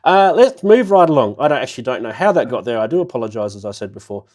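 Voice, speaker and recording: A man's voice: mid-range voice, sing-song, quick pace, a little mellow. Jokey man hosting a chat show. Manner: jokey, laughs at own jokes. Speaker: podcast host. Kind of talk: casual chat.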